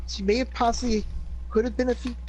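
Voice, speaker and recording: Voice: mid-range voice, flat delivery, fast talker, a little mellow, low-quality recording. A player in a tabletop role-playing game who talks casually, restarting phrases while thinking aloud. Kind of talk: tabletop play.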